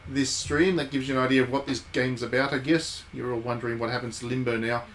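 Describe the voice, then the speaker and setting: A man's voice: mid-range voice, steady tone, quick pace, background noise. A man hosting a game stream, giving casual live commentary and thinking aloud in conversational asides.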